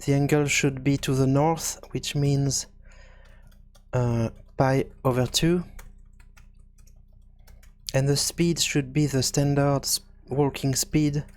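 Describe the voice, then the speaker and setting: A man presents a screencast tutorial at a slow pace. His pitch sits a little high for a man. He talks hesitantly, working things out aloud as he goes. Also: fairly even intonation, darkish tone. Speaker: video game programming tutorial host.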